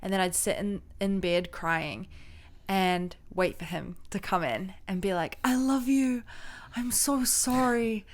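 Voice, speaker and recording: A young woman with a low, loud voice, co-hosting a casual marriage advice chat and telling stories animatedly. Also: unhurried. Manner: animated storytelling, acts out voices and quotes with laughs. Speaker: co-host of a marriage advice channel.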